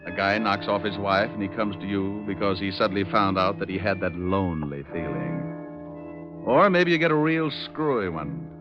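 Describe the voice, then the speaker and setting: A tough, breezy private eye, played by a male actor in a 1940s radio drama. His voice sits fairly low and has a wry, wisecracking edge. It comes through a dull vintage recording with music. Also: normal speed, lightly acted. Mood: wry storytelling. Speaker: lead actor and first-person narrator.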